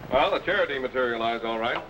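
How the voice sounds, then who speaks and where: An actor playing a loyal, cautious sidekick assistant with a folksy manner. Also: male voice, medium pitch, lively intonation, quick pace, a bit bright, natural voice. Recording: distant noisy old radio recording.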